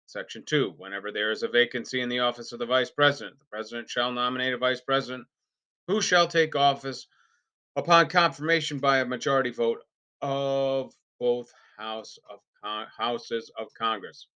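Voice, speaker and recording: A man with a crisp, forceful voice reads a formal document aloud. His delivery is deliberate and unrehearsed, breaking into halting phrases with emphatic openings. The recording is somewhat dull and lo-fi. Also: medium pitch, steady tone. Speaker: reader.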